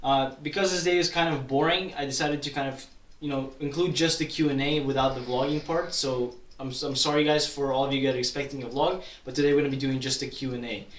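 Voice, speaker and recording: A man's voice: mid-range voice, fairly even intonation, quick pace. A young man from Kazakhstan answering viewer questions in a casual vlog, rambling with many restarts and fillers. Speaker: YouTuber. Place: at his grandmother's town in Kazakhstan. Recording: roomy echo, distant from mic.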